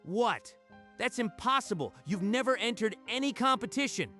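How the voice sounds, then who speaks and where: A man's voice: high-pitched, lively intonation, moderate pace, sharp tone. A man playing an arrogant, scheming young villain cousin in a manga dub, indignant and accusing, with music behind him. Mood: outraged, accusing. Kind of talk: anime-style manga dub acting.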